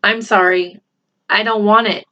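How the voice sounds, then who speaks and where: An earnest schoolboy from a storybook, voiced by a woman reader. He speaks in a measured, emphatic way, hopeful and a bit guarded. The sound is slightly muffled. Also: mid-range voice, fairly even intonation, bright, forceful tone. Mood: earnest, firm. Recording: slightly dull, lo-fi home recording.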